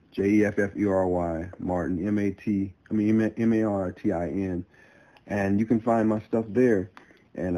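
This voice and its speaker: A man speaking casually as a guest writer, addressing listeners in a halting, earnest way and repeating himself. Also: low voice, warm tone, rough recording. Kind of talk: casual unscripted monologue to listeners.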